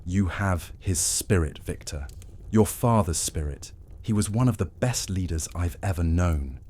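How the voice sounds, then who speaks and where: A man playing an evasive, scheming vampire advisor who speaks in a measured, persuasive way. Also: low-pitched, lively intonation, a little mellow. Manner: measured and persuasive, dodging questions. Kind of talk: audio drama acting.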